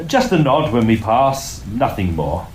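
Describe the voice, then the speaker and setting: A man's voice: fairly low pitch, very animated, a little slow, a little mellow, a little hiss or hum. A man playing a genial, studious young Oxford student in a Victorian audio drama, with a British accent and polite, clipped period speech. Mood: dry, curious. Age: young adult.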